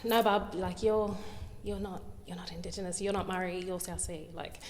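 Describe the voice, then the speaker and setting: An Australian woman, a guest artist, talking candidly in an interview, with many pauses, fillers and restarts. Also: lowish voice, steady tone, big-room sound, background noise. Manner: hesitant, lots of fillers and restarts.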